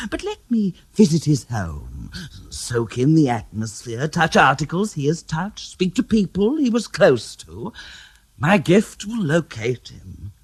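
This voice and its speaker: An actor playing a smug, self-important male clairvoyant in a radio drama, with a breathy, hushed voice. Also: a little high, dry recording, full character voice. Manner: grand and self-important, sliding into hushed menace. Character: smug theatrical male clairvoyant, middle-aged.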